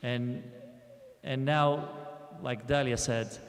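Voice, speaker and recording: A man's voice: medium pitch, fairly even intonation, slow pace. A man from Lebanon speaking emphatically to an audience. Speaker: guest speaker. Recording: reverberant hall.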